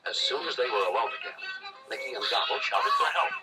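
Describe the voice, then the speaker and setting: A man's voice: medium pitch, fast. A man narrating a children's read-along story in a dramatic, theatrical, scripted style. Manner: theatrical, animated. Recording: music behind, played read-along recording.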